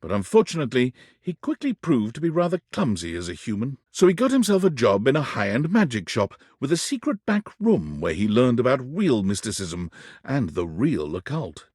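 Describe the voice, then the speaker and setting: A man playing the narrator of a video game, telling the story in a scripted, dramatic, deliberate storybook manner. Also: mid-range voice, wildly swooping pitch, a little mellow, husky, dry recording, clean studio sound. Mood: dramatic storytelling.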